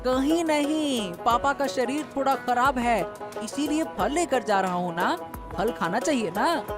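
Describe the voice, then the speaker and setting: Woman doing a sweet polite little village boy, high voice, cartoon story, music behind. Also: a little slow. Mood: polite, casual. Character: earnest, caring young village boy, a fisherman's son. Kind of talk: Hindi cartoon story dialogue.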